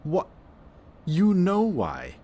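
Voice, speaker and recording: A man playing a sardonic, gruff but protective antihero villain in an intense, emotional audio roleplay. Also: sits high, wildly swooping pitch, drawn-out words, warm tone, exaggerated voice. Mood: upset, worried.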